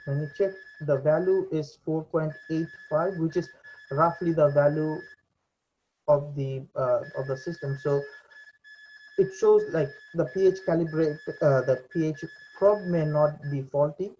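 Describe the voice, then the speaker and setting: Young male presenter, relaxed mid-pitched voice, hesitant unscripted walkthrough, dull low-fidelity audio. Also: slight background noise. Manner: hesitant, halting delivery. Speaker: presenter demonstrating a VR training simulation. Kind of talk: unscripted screen demo voice-over. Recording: dull lo-fi computer mic recording.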